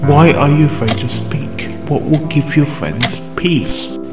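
A man voicing a calm, patient male pony doctor who asks measured questions in a fan audio drama reading. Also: a little high. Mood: calm, probing. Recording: echoey reading over loud music.

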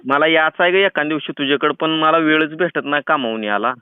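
A man with a notably high, smooth voice and a rural Maharashtrian accent. He talks in a chatty, persistent, colloquial way, like a prank caller teasing someone. The sound is thin and telephone-like. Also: brisk, dry recording. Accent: rural Maharashtrian Marathi. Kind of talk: casual teasing phone chat. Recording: tinny, phone-like band-limited sound.

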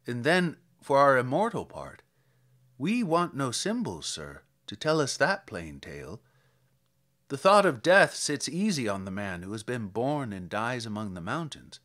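A man voicing an old, reflective country priest in a dramatic verse reading, telling tales in a measured, plainspoken way. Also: medium pitch, very animated, fairly bright tone, pitched below the performer's own voice.

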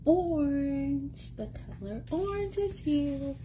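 Woman, 30s, children's read-aloud host. Lively swooping intonation, soft mellow tone, encouraging, sing-song; home phone recording with noise. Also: sits high, takes it slowly. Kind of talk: animated picture book read-aloud for young children. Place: small indoor room at home. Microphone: phone or tablet at arm's length.

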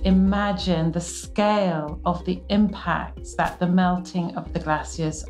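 A woman speaking slowly and emphatically in a documentary interview. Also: lowish voice, fairly even intonation, a little mellow, soft background music. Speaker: climate expert interviewee. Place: dark studio.

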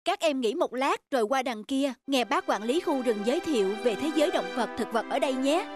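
A woman voicing a gentle, caring schoolteacher in a cartoon, with a measured, instructive manner. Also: sits high, expressive, music in the background. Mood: calm, kind. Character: gentle, caring female schoolteacher addressing her class. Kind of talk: animated cartoon dubbing.

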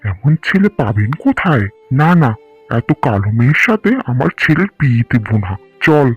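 A man's voice: medium pitch, very animated, moderate pace, husky, faint music behind, voice pushed down. A man voicing a haughty, dismissive groom's father in a cartoon, brusque in manner. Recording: dull lo-fi cartoon audio.

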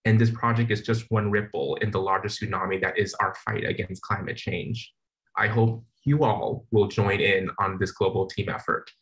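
Young man, somewhat low voice, earnest and formal, small restarts; webcam audio from a plain room. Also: little pitch movement, normal speed, fairly bright tone, poor audio. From San Francisco Bay Area. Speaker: award recipient. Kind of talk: prepared acceptance speech. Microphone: video call webcam.

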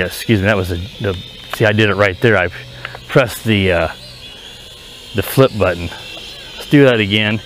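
A man giving a casual, unscripted hands-on review outdoors, speaking haltingly and often correcting himself. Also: on the low side, noisy background. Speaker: product reviewer. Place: outdoors in a residential driveway. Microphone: head-mounted action camera.

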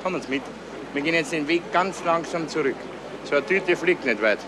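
A man's voice: fairly high pitch, lively intonation, brisk, close to an everyday voice. Man playing a brusque policeman, noisy old recording. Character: brusque male policeman, the detective's colleague. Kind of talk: German radio crime drama acting. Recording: noisy 1970s radio drama recording.

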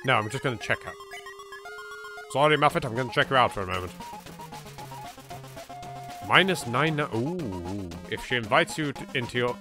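A man's voice: mid-range voice, animated, normal speed, pauses often. A man with an English accent hosting a let's play, wry and playful. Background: game music.

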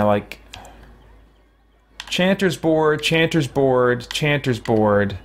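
A middle-aged man giving casual gameplay commentary, thinking aloud as he deliberates over his choices. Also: mid-range voice. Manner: musing, deliberating out loud. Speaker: video game streamer. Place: indoor room with shelves.

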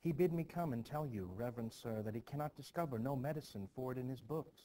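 A man's voice: medium pitch, quick pace, warm tone, slight background noise, natural voice, poor audio. A man reading the part of a dutiful young village girl, a deferential messenger in a stage play. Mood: timid, dutiful.

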